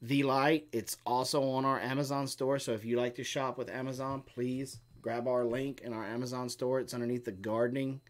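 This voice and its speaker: A man's voice: medium pitch, level tone, normal speed, hardly any pauses. Rambling homesteader man explaining. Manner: rambling, explains at length with many fillers. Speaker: co-host of a homestead live stream. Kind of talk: casual chat and Q&A.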